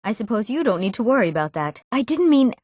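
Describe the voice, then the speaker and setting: A woman's voice: fairly high pitch, big pitch swings, quick pace, darkish tone, no room sound, clean studio sound. A young woman voicing an eager, proud young Nord townswoman in scripted fantasy game dialogue, with an earnest delivery. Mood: indignant. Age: young adult. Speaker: video game voice actor.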